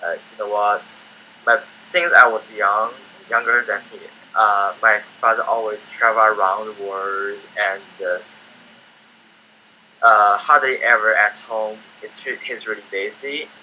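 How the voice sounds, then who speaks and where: A teenage boy from China, speaking his second language, who gives hesitant interview answers in simple, halting English. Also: fairly low pitch, fairly even intonation, sharp tone, highs cut off, a little hiss or hum. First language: Chinese. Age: teens. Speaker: student applicant.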